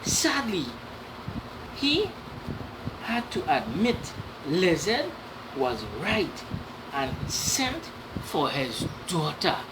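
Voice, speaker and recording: A woman reading a children's story aloud in an animated, dramatic way, with explanatory asides to young listeners. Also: fairly low pitch, very slow, long pauses, noisy background.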